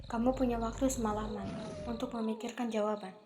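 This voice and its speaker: A woman voicing a cool, protective young female vampire in an ASMR roleplay, speaking calmly and matter-of-factly, with an Indonesian accent. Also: on the high side, brisk, very noisy, natural voice.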